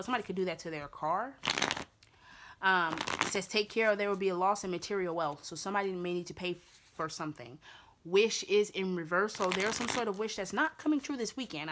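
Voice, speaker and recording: Chatty raspy-voiced woman reading tarot. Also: mid-range voice, a bit bright. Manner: chatty, repeats herself with many fillers. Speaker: tarot reader.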